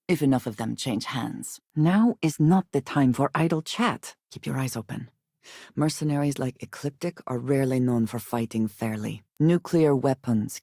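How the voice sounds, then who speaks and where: A woman playing a guarded, earnest space explorer in a video game, speaking in measured, formal and precise phrases. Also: a bass voice, warm tone, dry recording, clean studio sound, full character voice. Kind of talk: video game character dialogue lines.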